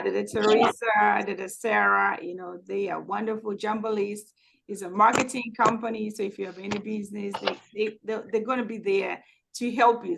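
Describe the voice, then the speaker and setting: Tanzanian-born lady, pretty gravelly voice, mid pitch, chatty with lots of restarts. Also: fairly bright tone, a little hiss or hum, rough recording. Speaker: guest speaker, founder of a Swahili school. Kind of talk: casual talk to an online community. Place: home in Houston.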